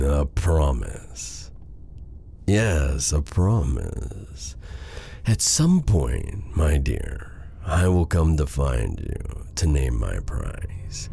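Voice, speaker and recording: A man playing an exiled dark sorcerer in a fantasy ASMR audio roleplay, a menacing, flirtatious villain with a theatrical, teasing, deliberate delivery. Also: a bass voice, gravelly, breathy edge, full character voice.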